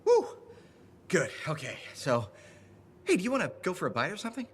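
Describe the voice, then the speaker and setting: An actor playing an eager, needy male gossip in a video game, animated and swooping between yelps and confiding tones. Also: sits high, a little fast, slightly rough, full character voice. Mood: needy, hopeful. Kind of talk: video game cutscene dialogue.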